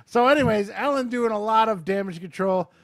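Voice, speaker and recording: A man's voice: sits high, a little fast, fairly bright tone, dry recording, clean studio sound. A man co-hosting a podcast in casual banter, joking around and swearing freely. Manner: joking, profane. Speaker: podcast co-host.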